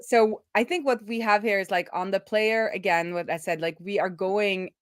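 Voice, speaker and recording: A middle-aged woman host talking informally through live coding, thinking aloud and often halting as she works things out. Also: medium pitch, no echo, studio quality. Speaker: host of a web development live stream. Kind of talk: casual live coding commentary.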